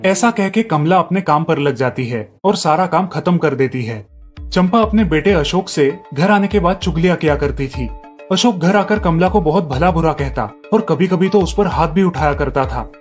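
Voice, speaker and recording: A man narrating a family cartoon story in a steady storytelling manner. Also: on the high side, normal speed, soft background music.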